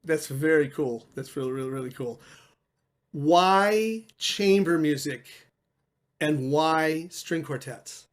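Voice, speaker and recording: A man with a high-pitched voice hosts an interview. He asks his questions conversationally, as the one running the session. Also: slow pace. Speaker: interviewer, competition director.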